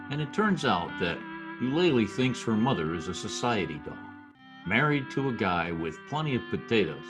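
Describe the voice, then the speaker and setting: A man playing a wry, skeptical Broadway wise guy in a 1940s-style radio drama, wisecracking in stiffly formal slang. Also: lowish voice. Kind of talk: old-time radio drama, present-tense slangy narration and dialogue. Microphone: video call recording.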